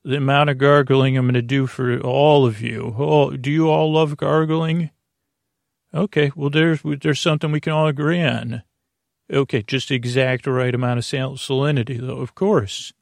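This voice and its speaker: A man hosting a bedtime podcast in a creaky, lulling voice, meandering and halting as he repeats himself and drifts into tangents. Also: medium pitch, fairly even intonation, no echo, clean studio sound. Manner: soothing, lulling. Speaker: podcast host. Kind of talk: rambling bedtime sleep podcast monologue.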